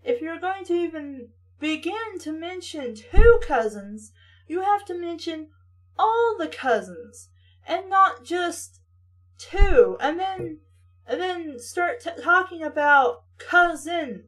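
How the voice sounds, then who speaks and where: A woman's voice: extremely high pitch, expressive, slow, fairly bright tone, poor audio. A woman reading a story aloud and riffing on it casually, with a blunt, sarcastic, sweary manner. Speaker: host reading a book aloud with critical commentary.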